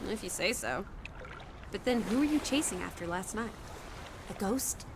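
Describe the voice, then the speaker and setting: A woman's voice: mid-range voice, animated, moderate pace, heavy background noise, lightly acted. A woman voicing a sociable, playful young travel companion in a video game, with a breezy, wry, mock-dramatic way of talking. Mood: wry, teasing.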